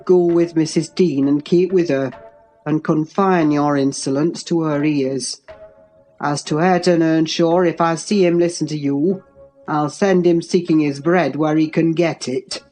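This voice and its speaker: A woman's voice: low-pitched, slow pace, a little mellow, slightly rough, faint music behind, pitched below the performer's own voice, heavily acted. A woman voicing a furious, domineering older man with a harsh, commanding manner in an audiobook. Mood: coldly menacing. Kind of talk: dramatized audiobook reading.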